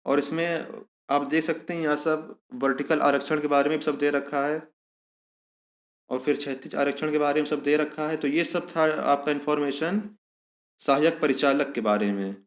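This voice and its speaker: A man with a slightly high voice. He explains official details step by step like an online instructor, restating key points so they stick. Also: fairly even intonation, moderate pace. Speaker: exam information channel host. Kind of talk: explanatory walkthrough of a recruitment notice.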